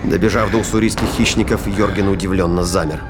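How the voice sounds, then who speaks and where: A man's voice: sits low, fairly even intonation, quick pace. A man narrating a science fiction thriller audio drama in a scripted, dramatic storytelling style. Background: prominent music and sound effects.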